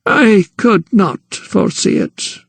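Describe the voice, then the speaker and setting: A shrewd old grandmother who speaks slowly and with a knowing calm, as a male narrator puts her on. The voice is lifted well above his own and is heard dry and close. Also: sits high, gravelly, no room sound, pitched above the performer's own voice, exaggerated voice. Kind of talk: audiobook character voice.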